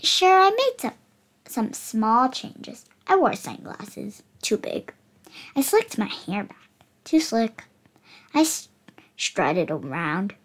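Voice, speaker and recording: An eight-year-old child reading a picture book aloud expressively, slightly halting with dramatic emphasis on words. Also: mid-range voice, sing-song, fairly bright tone. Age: child, 8 years old. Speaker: young book reader.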